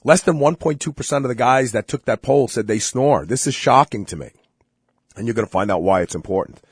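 A man hosting a radio health show, hoarse from being ill, talking in a chatty, emphatic way with many fillers. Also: mid-range voice, animated, brisk, no echo, clean studio sound. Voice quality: husky. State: hoarse from illness. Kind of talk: casual radio talk.

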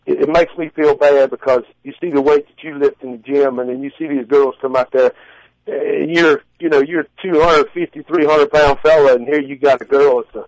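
A man in his forties speaking as an interview guest, telling stories casually in halting, folksy phrasing. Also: a little high, steady tone, a little mellow, no echo, rough recording. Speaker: guest, strongman competitor and contest judge. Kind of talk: casual interview answers and storytelling.

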